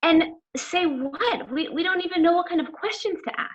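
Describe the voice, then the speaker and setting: A woman's voice: very high voice, normal speed, dull audio. A woman playing an anxious, easily flustered teenage girl in a sitcom table read over video call.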